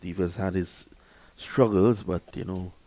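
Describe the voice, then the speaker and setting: A man calling live cricket in a lively, chatty way, swelling louder on big moments. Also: low voice, measured pace. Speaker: cricket commentator.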